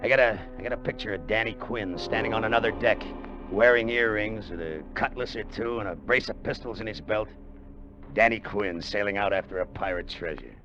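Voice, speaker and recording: Brash radio-drama gambler, played by a man, low voice. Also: fairly quick, lightly acted. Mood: wistful, excited. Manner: slangy, insistent, tough-guy talk. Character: Danny Quinn, brash, pushy gambler and ex-sailor turned treasure hunter. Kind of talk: 1950s radio drama acting, first-person hard-boiled narration. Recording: dull vintage radio recording with loud music.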